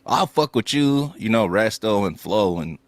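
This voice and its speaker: Low lively laid-back man. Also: unhurried, no room sound, clean studio sound. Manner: laid-back, laughs along. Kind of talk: casual livestream chat.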